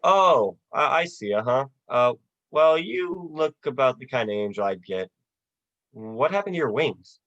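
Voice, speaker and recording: A young performer playing a despairing, sardonic family man in a radio play, with halting, dry delivery. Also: medium pitch, expressive, a bit bright. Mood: sardonic, incredulous. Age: teens or young adult. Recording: dull, lo-fi video call audio.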